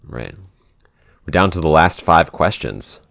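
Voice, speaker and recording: A man co-hosting a casual podcast Q&A, thinking aloud in a halting way as he explains his writing. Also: rumbling low, animated, gravelly, very noisy. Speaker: podcast writer and co-host answering listener questions. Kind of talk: casual Q&A chat.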